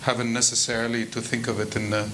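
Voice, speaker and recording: A man speaking as a panelist in a roundtable discussion, reflective and relaxed, with frequent "you know". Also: medium pitch, fast, background noise. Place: hall with audience.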